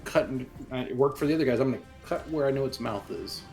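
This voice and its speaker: A man with a middle-pitched voice talks as a player in a tabletop game. His delivery is casual and conversational. Faint music runs behind him. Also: quick pace, darkish tone.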